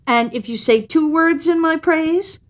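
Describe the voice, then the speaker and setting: A woman's voice: very high voice, voice pushed up. A woman voicing a proud, cunning male wild cat in a storybook, declaiming in a haughty way. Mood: sly, bargaining. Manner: haughty, declaiming, pleading with drawn-out words.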